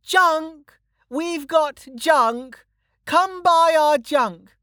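A sulky little girl, as a male narrator puts her on, pitched very high and petulant. She is blunt and stubborn, a storybook child who would rather not be bothered, and she takes her time over each word. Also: very slow, fairly bright tone, no echo, clean studio sound, full character voice. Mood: loud, grudging hawking. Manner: petulant, hollers her words.

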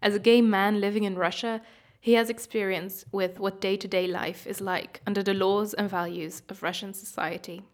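A woman with a mid-pitched voice gives scripted documentary narration. She explains background in a read-aloud way. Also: steady tone, moderate pace.

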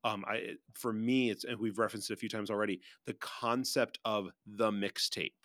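A man's voice: lowish voice, steady tone, moderate pace, fairly bright tone, no echo, clean studio sound. A middle-aged man in a casual podcast discussion, co-hosting with an earnest, reflective manner that carefully qualifies his points. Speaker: podcast co-host.

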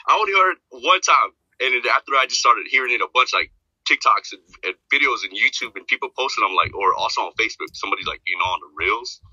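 A man talking casually as a podcast guest, rambling and repeating himself, with a deep voice. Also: expressive, quick pace, pushed and bright, husky, no room sound, muffled recording. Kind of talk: casual chat.

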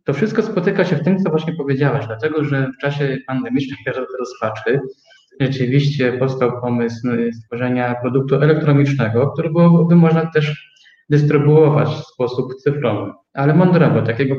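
Male interview guest, mid-pitched, halting explainer, roomy and slightly muffled recording. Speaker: guest, start-up co-owner. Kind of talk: interview answer about own business. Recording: roomy, slightly dull sound.

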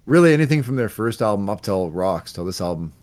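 A man co-hosting a music podcast, presenting a countdown of songs in casual chat, relaxed and laughing now and then. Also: lowish voice, fast. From Canada. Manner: relaxed, laughing, slight stumbles over words.

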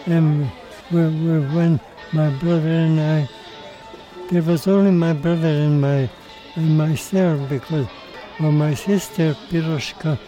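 Old man speaking slowly and haltingly. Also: fairly high pitch, fairly even intonation, warm tone, faint music behind, rough recording. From Mexico, raised in Hungary. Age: older. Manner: halting, with frequent restarts and repeated words. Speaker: interviewee, survivor telling his life story. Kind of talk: recorded interview.

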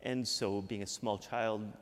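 Slow-speaking Midwestern priest in a reverberant church. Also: male voice, on the low side, fairly even intonation. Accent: Midwestern American. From Michigan. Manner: conversational, often adds "right?". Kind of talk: sermon.

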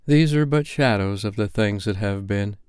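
A man reading aloud voices a gentle spirit, lifting his pitch and speaking calmly and solemnly. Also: moderate pace, clean tone, dry recording, studio quality, natural voice. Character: gentle, mild ghost guiding an old man. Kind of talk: audiobook character voice.

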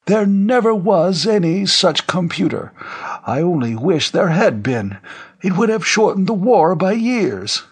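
A man's voice: sits high, big pitch swings, measured pace, husky, voice pushed up, heavily acted. A man voicing a frail, very old retired general in an audiobook, issuing formal, emphatic denials with haughty dismissal. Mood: firm denial. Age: over 100. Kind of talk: audiobook character voice.

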